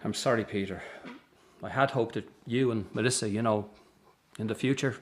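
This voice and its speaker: An actor playing a weary, patient but strained Irish circus manager in a radio drama. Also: male voice, lowish voice, moderate pace, slight background noise, close to an everyday voice. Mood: weary, conciliatory. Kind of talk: radio drama acting with narration.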